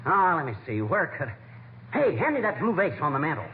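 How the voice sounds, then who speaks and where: A man's voice: a little high, very animated, quick pace. A man acting a bumbling, exasperated husband given to wisecracks in 1940s radio comedy style, folksy and slangy. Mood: fretful searching. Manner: folksy, slangy, blustering, exaggerated self-deprecation. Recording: scratchy, tinny old-time radio recording, heavy noise.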